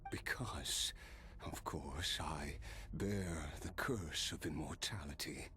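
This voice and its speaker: A man playing a weary, wry, regretful immortal warrior in a video game, speaking in a measured, reflective way with dramatic pauses. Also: extremely low pitch, pushed and bright, slight background noise, full character voice. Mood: grim.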